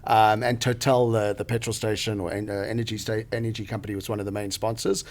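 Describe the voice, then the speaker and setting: A man's voice: fairly low pitch, flat delivery, fast talker, darkish tone. A man from Zambia answering interview questions in a studio, thoughtful, with frequent fillers and restarts. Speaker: guest, venture capital partner.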